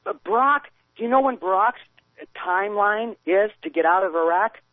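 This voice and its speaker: Male radio caller, rambling and argumentative, animated higher voice, heard through a phone line. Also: normal speed, no room sound. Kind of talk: phone-in conversation.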